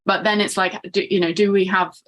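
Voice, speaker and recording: A woman's voice: mid-range voice, fairly even intonation, fairly quick, a bit bright. A woman researcher who gave the seminar, answering an audience question in a reflective, conversational way. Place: online seminar, video call.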